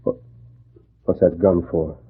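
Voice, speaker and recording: A man's voice: deep voice, little pitch movement, takes it slowly, breathy edge, exaggerated voice. An actor playing a sick, indignant family man in a 1940s radio drama. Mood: outraged, frightened. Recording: muffled, narrow old radio recording.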